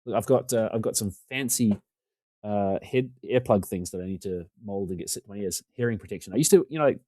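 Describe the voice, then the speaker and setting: Talkative New man, low and mellow. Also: brisk, no room sound, studio quality. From New Zealand. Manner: talkative, rambling, self-deprecating. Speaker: podcast guest. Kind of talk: casual conversation. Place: home room.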